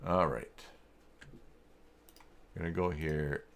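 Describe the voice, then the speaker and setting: Male live-stream tutorial host, deep register, informal, halting think-aloud delivery. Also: fairly bright tone. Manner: halting, muttering to himself while working. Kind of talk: casual live tech walkthrough, thinking aloud.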